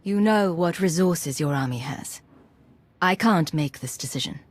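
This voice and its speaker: An actress playing a composed, confident military commander in a video game, assured and deliberate, with a husky voice. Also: female voice, lowish voice, lively intonation. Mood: composed, serious.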